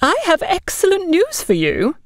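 A woman's voice: very high voice, huge pitch swings, moderate pace, dry recording, studio quality, voice pushed up, exaggerated voice. A woman voicing an overbearing, gossipy, smugly condescending woman in an audio story, talking down to others in an effusive way. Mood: beaming. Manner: bossy, effusive, talks down to others.

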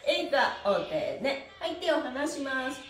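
Cheerful high-voiced woman leading stretches. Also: sing-song, measured pace. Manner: cheerful, smiling, very polite with honorifics. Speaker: stretching instructor and livestream host. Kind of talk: upbeat exercise livestream, chatting and counting. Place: small indoor room, on a mat. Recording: echoey room with faint music.

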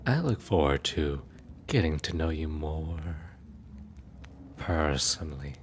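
An immortal prince , acted by a man, speaks in a profoundly deep, slow voice. He is formal and suave, teasing yet possessive and quietly dangerous. It is an ASMR roleplay with noticeable ambient noise behind him. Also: animated, exaggerated voice. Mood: calmly menacing.

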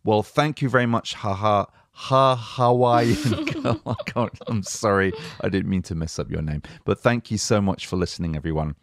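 A man from England hosts a relaxed language-lesson podcast, chatty and playful as he reads listener reviews. His voice sits fairly low. It is recorded close and dry, with no room sound. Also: normal speed, few pauses, no room sound. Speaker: podcast host.

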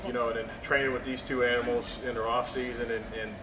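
Flat-voiced man in an echoey gym. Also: mid-range voice, fast, noisy background. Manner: plain, matter-of-fact, trailing fillers. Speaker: competing bodybuilder. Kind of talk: casual gym talk to camera. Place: large indoor gym. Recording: echoey gym audio from a distance.

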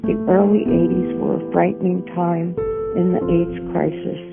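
A woman's voice: on the low side, level tone, normal speed, gravelly, loud music behind the voice. A woman in her late sixties leaving a prepared personal message. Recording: muffled phone message.

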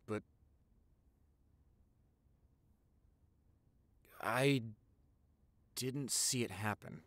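A young man playing a devoted, gentle vampire boyfriend in an intimate audio roleplay, earnest and encouraging. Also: mid-range voice, no echo, close to an everyday voice. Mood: somber, uneasy. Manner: tender, encouraging, earnest, halting when emotional. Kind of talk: audio roleplay acting, intimate one-sided dialogue.

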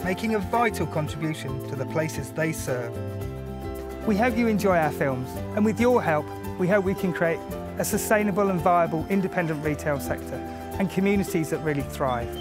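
A man in his thirties presenting a scripted promotional film as programme manager. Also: fairly high pitch. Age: 30s. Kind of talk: scripted narration. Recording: music behind the voice. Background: music.

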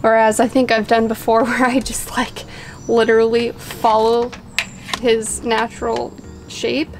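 A woman's voice: on the high side, little pitch movement, unhurried, slight background noise. A young woman outdoors on a deck, chatting casually as she explains how she cuts hair at home, laughing at herself now and then. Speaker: vlogger cutting her husband's hair.

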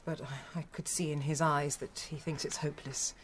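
An actress playing a grieving but composed and courteous widow in a radio drama, polite and hesitant. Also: female voice, low-pitched, flat delivery, moderate pace, slight background noise, natural voice. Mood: earnest.

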